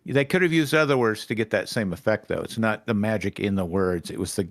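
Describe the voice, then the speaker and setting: A man's voice: fairly low pitch, fairly quick, no room sound, clean studio sound. A man talking casually as a panelist on a fan fiction reading show. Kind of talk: casual discussion.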